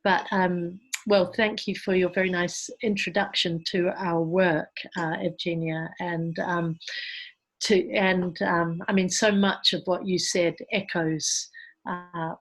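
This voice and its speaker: Low-pitched, reflective New woman presenting. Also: little pitch movement, slow, soft background music, rough recording. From New Zealand. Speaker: presenter from a community organisation. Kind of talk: informal presentation on an online call. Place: online video call.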